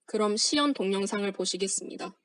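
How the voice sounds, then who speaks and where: Young female student presenter, steady formal read, dry close voice-over, middle pitch. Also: quick pace, dry recording. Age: young adult. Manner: formal, steady, reading from a script. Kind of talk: read-aloud project presentation.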